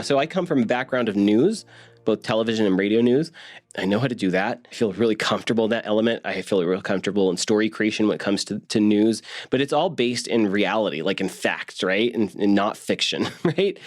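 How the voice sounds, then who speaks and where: A man casually recalling past work in an interview, acting out bits of remembered conversation in an animated way. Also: fairly low pitch. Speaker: media producer.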